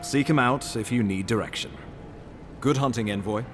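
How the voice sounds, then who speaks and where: An actor playing a weary, dutiful imperial ambassador in a fantasy game, speaking in a measured, formal, resigned way. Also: male voice, medium pitch, background noise, close to an everyday voice. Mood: instructing, formal. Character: weary, dutiful male imperial ambassador, self-doubting yet courteous. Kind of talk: dramatic fantasy game dialogue.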